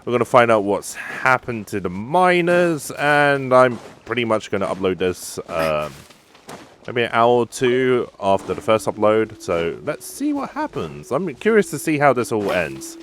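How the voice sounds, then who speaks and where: A man's voice: medium pitch, very animated, measured pace, a bit bright, a little hiss or hum. A young man hosting a gaming channel, giving casual commentary and reacting aloud to the story as he plays.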